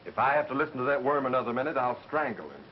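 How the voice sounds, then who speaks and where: An actor playing a fed-up, harried radio advertising assistant, hurried and pleading, in 1930s film style. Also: male voice, mid-range voice, sing-song, a little hiss or hum, natural voice. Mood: exasperated. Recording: muffled 1930s film sound, music behind.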